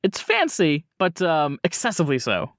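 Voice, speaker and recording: A man acting as game master, improvising descriptive narration in a casual, halting way. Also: a little high, huge pitch swings, fairly bright tone, no echo, studio quality.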